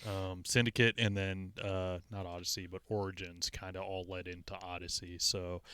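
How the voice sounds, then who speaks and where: A man's voice: low-pitched, flat delivery, moderate pace, sharp tone, clear, resonant tone. A man co-hosting a podcast, chatting casually about video games in a rambling way. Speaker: podcast co-host. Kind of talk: casual gaming chat.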